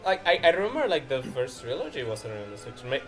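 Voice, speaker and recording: A man streams a casual reaction chat with friends, music playing faintly behind him. His voice sits at a middling pitch, and he talks in a loose, animated storytelling way. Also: fast talker. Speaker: streamer hosting a live reaction. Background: background music.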